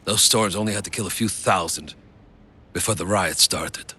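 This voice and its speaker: Bitter veteran, sharp, forceful voice. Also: male voice, low-pitched, normal speed, slightly rough, exaggerated voice. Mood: bitter, sardonic. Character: bitter, sardonic, foul-mouthed male veteran. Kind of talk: video game cutscene acting. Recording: faint background noise.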